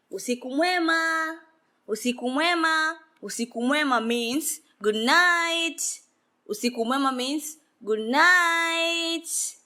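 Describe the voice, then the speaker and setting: A woman, a Swahili speaker, teaching a beginner lesson and repeating each word slowly and with emphasis. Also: on the high side, pushed and bright. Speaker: language teacher.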